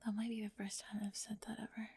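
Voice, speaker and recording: A woman playing a talkative, bossy high school girl who gets easily flustered, in an ASMR roleplay with halting speech and breathy drops toward a whisper. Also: completely flat delivery, darkish tone. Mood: tender, flustered.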